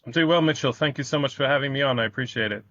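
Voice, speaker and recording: A middle-aged British man speaks at a mid pitch over a remote call. He explains things politely, often restarting his sentences and adding fillers. His audio sounds dull and dry, with no room echo. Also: racing, fairly bright tone. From United Kingdom. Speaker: accountant, interview guest. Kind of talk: casual interview answers. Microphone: remote call microphone.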